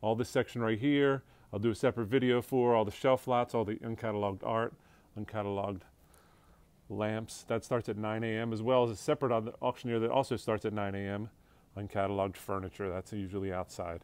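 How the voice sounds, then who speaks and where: A man's voice: fairly low pitch, steady tone, brisk, darkish tone, smooth. A man from an auction house walking through a showroom, casually listing furniture and lots as he goes. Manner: offhand, listing items as he walks. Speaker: auction house staff giving a preview walkthrough. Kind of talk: casual walkthrough narration. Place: large indoor auction showroom full of furniture. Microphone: handheld phone camera, speaker off screen.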